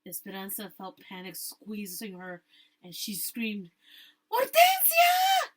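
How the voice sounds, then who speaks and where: A woman teacher reading a storybook aloud to pupils and pausing to ask them questions. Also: medium pitch, fairly even intonation, a little hiss or hum.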